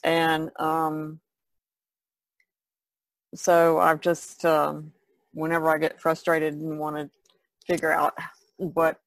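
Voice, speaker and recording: A woman's voice: low voice, completely flat delivery, slow pace, long pauses, no room sound. A woman chatting casually as a podcast panelist, in a hesitant, musing way. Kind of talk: casual chat.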